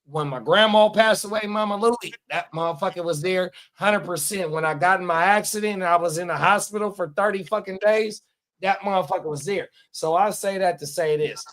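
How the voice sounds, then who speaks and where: A man's voice: high-pitched, fairly quick, a bit bright. Emphatic, swearing man in his forties. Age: 40s. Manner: emphatic, swears freely, repeats catchphrases. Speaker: podcast co-host. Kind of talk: casual profane chat.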